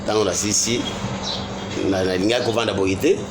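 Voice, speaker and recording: A Congolese lawyer being interviewed, speaking in a measured, hesitant way with many fillers. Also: male voice, fairly low pitch, fairly even intonation, music in the background. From Congo (Kasai Central). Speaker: lawyer guest. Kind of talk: interview answers. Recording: clear background noise.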